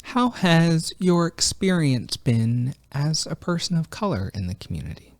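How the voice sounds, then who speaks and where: A man conducts an oral history interview, posing calm, brief questions. His pitch moves in a lively way, and the recording is dry and close. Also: unhurried, no room sound.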